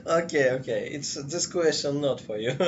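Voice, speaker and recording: A man asking interview questions in halting English, often laughing. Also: fairly high pitch. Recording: dull lo-fi audio with faint noise.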